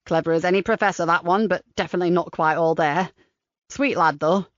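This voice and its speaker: A woman voicing a chatty, kindly old countryman gardener with a broad Lincolnshire dialect, slow and lower than her own voice. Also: no echo, natural voice. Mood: folksy. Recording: slightly dull dry recording.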